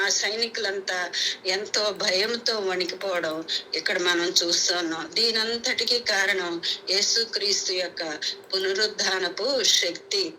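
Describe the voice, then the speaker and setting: A woman's voice: medium pitch, bright, forceful tone. A woman from India preaching a Bible teaching in an explanatory way, repeating points for emphasis. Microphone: conference call. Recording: noisy prayer conference call audio.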